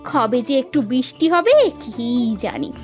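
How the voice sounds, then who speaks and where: A woman's voice: high voice, sing-song. A woman playing a weary, plaintive village housemaid and wife in a cartoon moral story. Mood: weary, complaining. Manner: plaintive, complaining, drawn-out lamenting. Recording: dry studio voice over background music.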